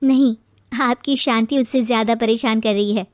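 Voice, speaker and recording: A woman voicing a patient, gentle young daughter-in-law in a moral story, speaking earnestly and respectfully. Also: fairly high pitch, steady tone, normal speed, no room sound, lightly acted. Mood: concerned. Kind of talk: acted moral story dialogue.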